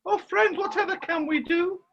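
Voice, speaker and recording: A woman's voice: extremely high pitch, moderate pace, creaky, a bit bright, dull audio, slight background noise, heavily acted. A woman playing a bossy, fretful washerwoman mother in a rhyming pantomime, exclaiming in a stagey way. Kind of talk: rhyming amateur pantomime acting.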